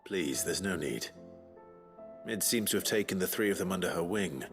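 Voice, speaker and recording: An actor playing a calm, kindly man who speaks gently and patiently in a video game. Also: deep voice, over music. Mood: sober, reassuring. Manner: measured, gentle, encouraging. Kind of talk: video game dialogue acting.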